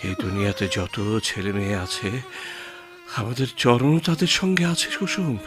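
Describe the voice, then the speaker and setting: A man acting in a radio drama as a gentle, grieving village husband, speaking emotionally and haltingly and repeating his phrases. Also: mid-range voice, big pitch swings, lightly acted. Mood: tender, pleading. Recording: music behind the voice.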